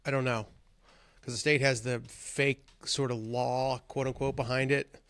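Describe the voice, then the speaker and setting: A man hosting a podcast, asking quick, curious follow-up questions in casual conversation. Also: medium pitch, flat delivery, fairly bright tone, no room sound. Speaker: podcast host.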